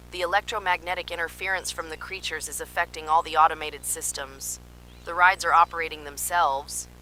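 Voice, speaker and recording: Casting: actress as alarmed female field scientist for analog horror. Bright, tense delivery, urgent yet clinical, with faint noise on the recording. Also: sits low, low-quality recording. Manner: urgent, dramatic, clinical reporting. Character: alarmed female park scientist transmitting desperate emergency warnings. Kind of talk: analog horror emergency narration, field report monologue.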